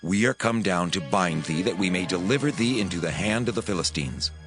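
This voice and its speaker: Menacing emphatic townsman, bible drama, sharp voice w music behind. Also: low voice, brisk. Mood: firm. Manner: emphatic, declamatory. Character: menacing, scornful spokesman of ancient townsmen. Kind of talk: dramatized scripture acting.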